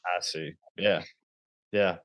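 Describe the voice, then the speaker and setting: A man's voice: deep voice, fairly even intonation, slow pace, fairly bright tone, no room sound. A man from rural Virginia hosting a casual interview, talking in a rambling, informal way. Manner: rambling, informal, with many fillers. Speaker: podcast host.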